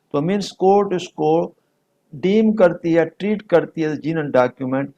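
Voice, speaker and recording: Male law lecturer, Pakistani accent, notably high voice, unhurried explanatory delivery with English terms mixed in. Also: a little mellow. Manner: deliberate, explanatory, repeats and rephrases points.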